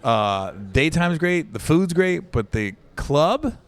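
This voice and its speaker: A man's voice: on the high side, takes it slowly, clear, resonant tone. A man in an easygoing podcast conversation, spinning anecdotes about gigs on the road. He acts out other people's lines as he goes and keeps checking in with "right?". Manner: animated storyteller, acts out quotes, says "right?" often. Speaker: comedian guest. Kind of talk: casual podcast chat.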